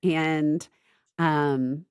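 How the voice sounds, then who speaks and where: A woman in her fifties with a low, deep voice, chatting casually on a family podcast. She tells stories loosely, backing up to fix details. The sound is dry and close. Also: steady tone, extremely slow, clear, resonant tone, no room sound. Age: 50s. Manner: rambling storytelling with self-corrections. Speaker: podcast co-host, mother.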